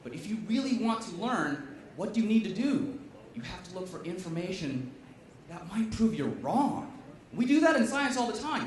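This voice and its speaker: Male professor, wry stand-up delivery, fairly high lively voice, heard distant in a reverberant venue. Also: slight background noise. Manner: wry, emphatic, punchy delivery. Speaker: chemistry professor giving a comedic science talk. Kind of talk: stand-up style science talk. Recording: echoey room, voice from a distance.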